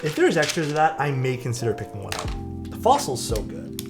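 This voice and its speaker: Soothing, lively-voiced man streaming. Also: mid-range voice, brisk. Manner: relaxed, chatty, reads viewer chat aloud and answers. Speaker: streamer building a keyboard. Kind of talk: casual live-stream chat while working. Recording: clear background music under voice. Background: music playing and keyboard clacking.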